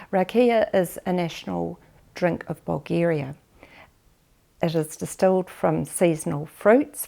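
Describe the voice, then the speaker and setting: A New Zealand woman in her fifties or sixties, recounting her family memories for a documentary interview in a measured, reflective way with pauses. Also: fairly low pitch, gravelly, darkish tone. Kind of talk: personal family memoir.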